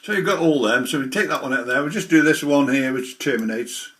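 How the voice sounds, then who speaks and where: A man giving a casual, rambling, halting software demonstration as a hobbyist. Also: mid-range voice, very noisy. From the English Midlands.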